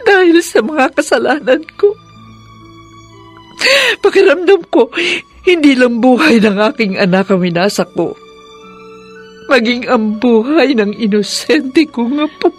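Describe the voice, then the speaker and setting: Slow, remorseful older Filipino mother-in-law. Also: a little high, lively intonation. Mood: anguished pleading. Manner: halting, pleading, emotional. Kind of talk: Filipino radio drama acting. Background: music.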